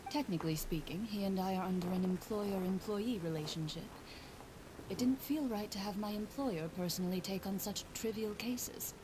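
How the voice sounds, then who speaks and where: A woman voicing a calm measured lady. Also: medium pitch, lightly acted. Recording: game audio under stream noise.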